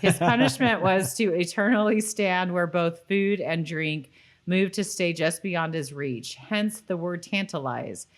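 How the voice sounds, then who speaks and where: A woman with a low voice speaks as a podcast co-host. Her delivery is informal and offhand, moving between casual chat and reading aloud. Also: measured pace.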